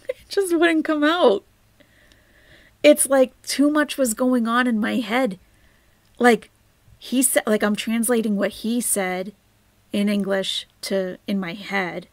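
A woman's voice: mid-range voice, gravelly, no room sound. A woman hosting a live stream, chatting casually and rambling as she answers viewer comments, often dropping to near-whispered asides.

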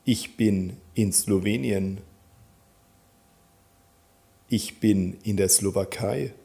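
A man teaching a German lesson, calmly naming countries and repeating example sentences for learners to follow. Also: on the low side, drawn-out words, gravelly, husky. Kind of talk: slow, clear teaching with drill repetitions.

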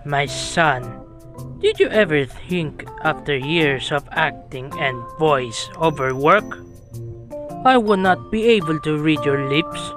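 Someone doing a stern, slow old rat father. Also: medium pitch, lively intonation. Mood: stern, knowing. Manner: measured, formal, lecturing. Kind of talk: cartoon comic dub acting. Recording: music behind the voice.

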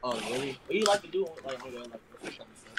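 A man's voice: mid-range voice, animated, racing, crowd noise. An eighteen-year-old man from Los Angeles on a video call, slangy and rambling with lots of fillers. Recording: noisy call line.

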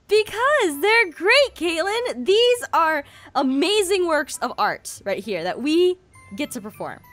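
A theatrical, excitable woman hosting and reading a story aloud amid playful banter. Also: very high voice, takes it slowly, soft background music. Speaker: host reading fan fiction.

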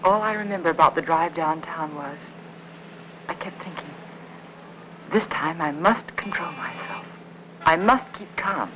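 Nervy american housewife, halting and pleading, old movie melodrama, bit of hiss. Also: female voice, low voice, fairly bright tone, low-quality recording. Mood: inward, fearful narration. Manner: halting, breathless stammering under strain. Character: anxious, pleading suburban housewife guarding a secret. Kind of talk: 1950s melodrama film acting. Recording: hissy old 1950s film soundtrack.

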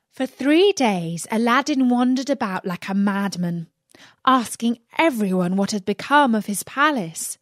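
A woman narrating a fairy tale as an audiobook reader, deliberate and carefully phrased. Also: mid-range voice, no echo, clean studio sound.

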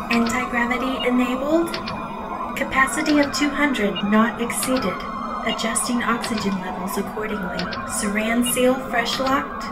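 Actress as female ship computer, slow, detached, procedural, slightly high, loud music behind. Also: close to an everyday voice, poor audio. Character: impassive female talking briefcase computer.

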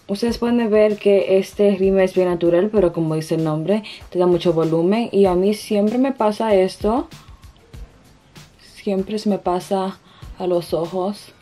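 A woman's voice: medium pitch, warm tone. A young woman from the Dominican Republic with a Dominican Spanish accent, chatting through a makeup tutorial at home, hesitant and searching for words. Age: young adult. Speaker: beauty vlogger. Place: bedroom, indoors.

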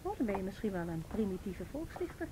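A woman's voice: mid-range voice, sing-song, fast, noisy background, close to an everyday voice. A woman acting an opinionated, cynical young student activist in a radio drama, argumentative and sardonic.

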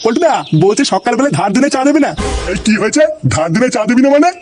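Man acting a high-pitched, foul-mouthed cartoon village grumbler. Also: animated, fast talker, a little mellow, soft background music. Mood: brash, demanding. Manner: crude, brash, swearing.

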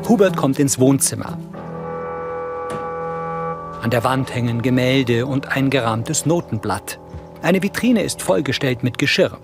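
Male audio-description narrator, mid-pitched, scripted scene narration, music underneath. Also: expressive, unhurried, gravelly, long pauses. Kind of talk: scripted present-tense narration.

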